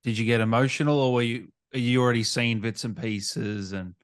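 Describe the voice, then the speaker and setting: A man's voice: mid-range voice, fairly even intonation, brisk, clean tone, no echo, clean studio sound. A man hosting an interview, asking questions in a casual, conversational way. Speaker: interviewer, host.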